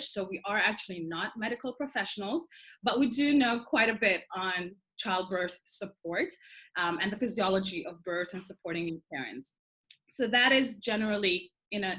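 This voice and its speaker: A woman's voice: medium pitch, poor audio. A woman in her thirties, originally from Sudan and raised in Dubai, speaking as a doula guest on a video-call interview, explaining at length with many ums. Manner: warm, explanatory, frequent ums.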